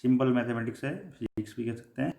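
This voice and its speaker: A man with an Indian accent giving a technical lecture, explaining in a halting, repetitive way and mixing in English terms. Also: mid-range voice, vocal fry, darkish tone. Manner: halting, repetitive explaining with frequent filler phrases. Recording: echoey room.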